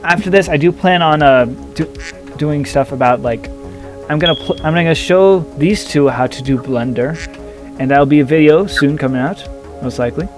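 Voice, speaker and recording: A young man with a slightly higher voice hosts a casual podcast, hesitant and full of fillers. Faint music plays underneath. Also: moderate pace. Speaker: podcast host.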